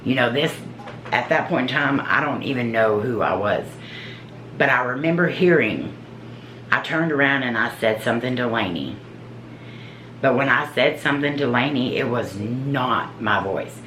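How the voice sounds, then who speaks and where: A woman with a deep, low voice, a paranormal investigator telling her own story in a casual, earnest, halting way. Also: lively intonation, fairly bright tone, slight background noise. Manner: earnest, halting, searching for words. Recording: roomy echo.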